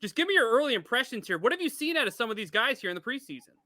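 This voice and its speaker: A man with a high, strikingly bright and forceful voice hosts a sports interview. His sound is close and dry, and he sets up each question like a presenter, speaking straight to the guest. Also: big pitch swings, very fast, no room sound. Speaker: sports show host, interviewer.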